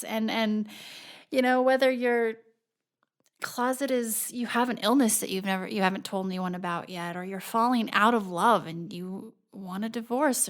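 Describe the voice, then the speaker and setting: A woman hosting a podcast interview, speaking in an appreciative, emphatic way to her guest. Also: mid-range voice. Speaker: podcast host. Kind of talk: interview conversation.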